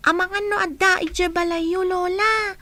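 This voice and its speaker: A woman's voice: very high voice, fairly even intonation, a little slow, sharp tone, no echo, exaggerated voice. A woman voicing a cheerful little girl in a radio drama. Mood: chirpy.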